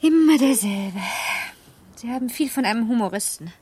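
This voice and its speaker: A woman's voice: fairly high pitch, expressive, moderate pace, mellow, breathy edge. An actress in a German radio play playing a spirited, coquettishly reproachful young married noblewoman with a pert, genteel manner. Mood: indignant, teasing.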